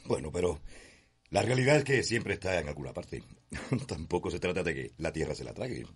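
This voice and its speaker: An actor playing a stern, domineering plantation owner and father in a radio soap, with a raspy, gravelly voice. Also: deep voice, animated, a little fast, full character voice. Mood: brisk, genial. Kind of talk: radio soap opera acting.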